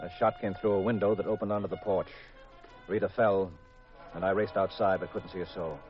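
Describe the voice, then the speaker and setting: An actor playing a cool, wry private detective in an old radio mystery, terse and deadpan, narrating his own case. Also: low-pitched, a little fast, natural voice. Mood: tense, matter-of-fact. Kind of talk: 1940s-50s radio mystery acting, first-person narration. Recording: muffled vintage radio recording with music.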